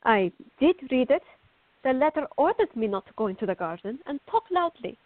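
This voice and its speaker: Woman acting a stubborn teenage girl, high-voiced, phone-like sound. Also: sing-song, a little fast, dry recording. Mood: stubborn, defiant. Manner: headstrong, sarcastic. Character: fiery, stubborn teenage girl, defiant and sarcastic. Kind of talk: radio drama acting. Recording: muffled, phone-like narrow-band audio.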